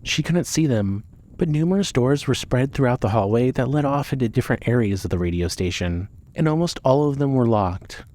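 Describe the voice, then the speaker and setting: A man's voice: mid-range voice, lively intonation, brisk, darkish tone. A man narrating a scripted dramatic story for a fiction podcast.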